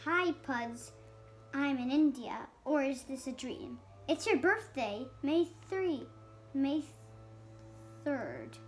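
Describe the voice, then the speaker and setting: High-voiced child reading a storybook. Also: lively intonation, soft background music. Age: child, about 7 or 8. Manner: halting beginner reading, stumbles on harder words. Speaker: young reader. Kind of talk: reading a picture book aloud.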